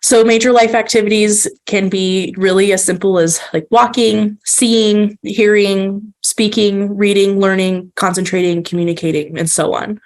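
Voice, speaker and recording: A woman's voice: mid-range voice, normal speed, few pauses. Congested woman giving a friendly talk. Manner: relaxed, friendly, frequent ums and restarts. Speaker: accommodations specialist presenting. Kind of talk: informal webinar presentation.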